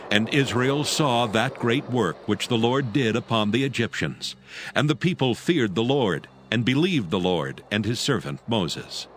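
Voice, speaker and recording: A man narrating a dramatized Bible in a solemn, measured, scripted style. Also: mid-range voice, expressive, slight background noise. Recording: loud music under the narration. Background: loud music.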